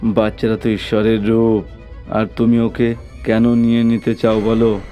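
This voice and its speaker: A man's voice: on the low side, monotone, unhurried, dull audio, soft background music, lightly acted. A man voicing a commanding male exorcist who challenges spirits in a horror cartoon story, with insistent delivery. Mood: challenging. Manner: forceful commands and repeated questions.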